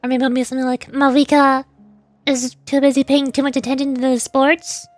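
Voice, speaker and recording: High-voiced woman streamer chatting over a game. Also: level tone, brisk, no echo. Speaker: VTuber streamer and amateur voice actor. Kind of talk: casual game commentary mixed with character voicing.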